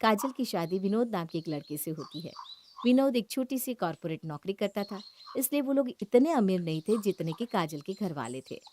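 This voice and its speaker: A woman's voice: mid-range voice, darkish tone. Woman narrating a story over faint noise.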